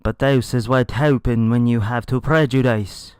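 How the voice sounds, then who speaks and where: A man's voice: medium pitch, fairly even intonation, normal speed, clear, resonant tone, no room sound, clean studio sound, close to an everyday voice. A man playing a defiant, earnest stranded spaceship captain in a story read aloud.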